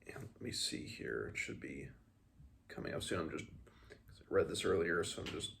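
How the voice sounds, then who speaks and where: A man reading aloud from a fantasy novel and retelling it casually, haltingly paraphrasing between passages. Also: deep voice, expressive, creaky, a bit bright, a little hiss or hum. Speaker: host of a book read-along.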